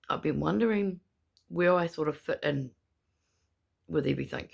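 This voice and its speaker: Brief: gravelly, husky-voiced speaker with a New Zealand accent, gummy without teeth, indignant protester monologue. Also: mid-range voice. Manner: indignant, repetitive, emphatic. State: gummy speech, no dentures in. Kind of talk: angry ranting monologue.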